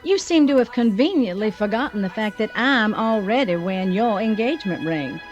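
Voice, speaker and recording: An actress playing a proud, scheming fiancée in an audio soap opera. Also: female voice, mid-range voice, animated, normal speed, dull audio, soft background music, natural voice. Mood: cutting, wounded.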